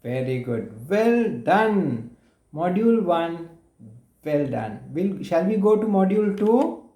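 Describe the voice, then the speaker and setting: A man's voice: sits high, big pitch swings, slow, warm tone, roomy sound. A man with a Sri Lankan accent teaching spoken English, patiently repeating questions and giving brief praise over a call. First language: Sinhala. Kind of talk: oral drill over a call.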